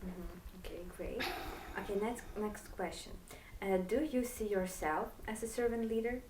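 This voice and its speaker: A woman's voice: mid-range voice, steady tone, normal speed, background noise. A woman interviewer asking questions hesitantly, with many fillers and restarts. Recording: roomy and distant from the mic.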